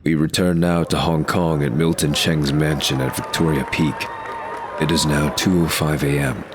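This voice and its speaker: A man's voice: very deep voice, fairly even intonation, normal speed, noisy background. A man giving scripted, present-tense narration as the narrator of an audio drama.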